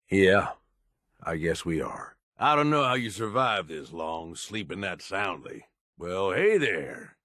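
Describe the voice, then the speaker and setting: Gruff raider sidekick from a video game, low voice, drawl, real dry sound. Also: male voice, a bit bright, no echo, heavily acted. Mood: relaxed, teasing. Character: gruff roguish raider henchman, cynical but loyal. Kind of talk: video game companion dialogue.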